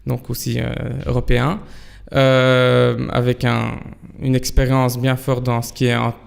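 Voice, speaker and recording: A man in his thirties with a Belgian accent, answering interview questions in a halting, conversational way. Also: medium pitch, monotone, vocal fry, clean tone. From Antwerp, Belgium. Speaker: fintech professional, interview guest. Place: broadcast studio.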